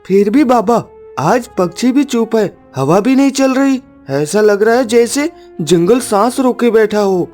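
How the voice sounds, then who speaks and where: A woman's voice: a little high, animated, unhurried, darkish tone. Woman doing a sweet earnest little boy for a cartoon, devoted son, music underneath. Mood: uneasy, loyal. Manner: earnest, tender. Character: devoted, brave young woodcutter's son.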